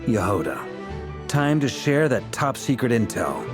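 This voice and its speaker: Wry, probing council leader. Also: male voice, medium pitch, very animated, a little slow, music in the background.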